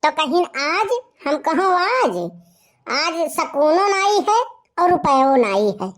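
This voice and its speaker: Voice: very high voice, animated, slow pace, fairly bright tone, exaggerated voice. A performer voicing a boastful young village slacker in a comic cartoon story, with a rustic eastern Uttar Pradesh Awadhi accent.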